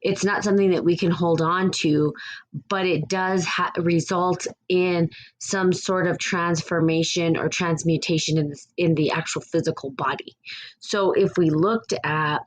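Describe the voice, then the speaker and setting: Woman podcast host, low raspy voice, meandering reflective delivery. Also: fairly even intonation, a little slow, low-quality recording. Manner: rambling and reflective, with frequent restarts. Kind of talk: casual spiritual podcast talk.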